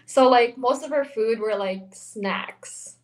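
A woman's voice: a little high, slow pace. A young woman from the Philippines with an American accent, chatting as an interview guest over a video call, laughing often between fillers. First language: Tagalog. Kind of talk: casual interview. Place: small indoor room, video call. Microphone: desk microphone on video call.

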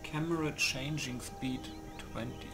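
Guy doing casual game setup commentary, kinda slow, reads options out to himself in short bits, loud music behind. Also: medium pitch, steady tone. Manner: muttering to himself, reading settings aloud in clipped phrases. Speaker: gaming video host.

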